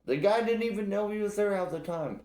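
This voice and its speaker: A man's voice: sits high, fairly quick, a little mellow, roomy sound. A young man from Illinois hosting a solo podcast, ranting bluntly with lots of profanity. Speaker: podcast host. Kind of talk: casual solo podcast rant.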